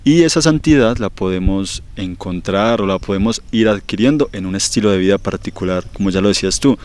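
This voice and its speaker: A man hosting a televised interview, asking guiding questions in a formal conversational way. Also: fairly low pitch. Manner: warmly formal, leading questions. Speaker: TV program host.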